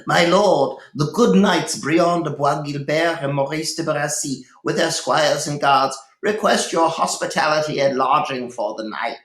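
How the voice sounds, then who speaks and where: Man as a formal, high-pitched servant announcing guests. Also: a little slow, hardly any pauses, fairly bright tone, reverberant. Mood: formal, respectful. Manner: proclaiming, formal. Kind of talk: storybook read-aloud.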